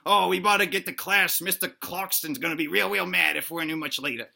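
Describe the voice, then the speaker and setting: Woman playing a bright-voiced fretful dinosaur schoolboy. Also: on the low side, brisk, gravelly, full character voice. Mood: worried. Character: fretful, worldly young dinosaur schoolboy buddy.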